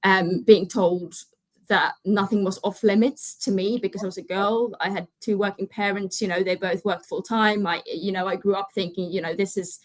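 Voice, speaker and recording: A young woman from Finland speaks earnestly as a podcast guest, her voice at an ordinary middle pitch. She often loops back and restarts her phrases. The recording is close and dry, with no room sound. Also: fairly even intonation, normal speed, no room sound, rough recording. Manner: earnest, many restarts and "you know". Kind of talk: casual interview chat.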